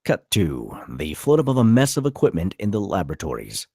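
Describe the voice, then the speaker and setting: A man's voice: low-pitched, wildly swooping pitch, a little fast, dark tone, breathy edge, no room sound, clean studio sound. A man narrating stage directions at a live screenplay table read.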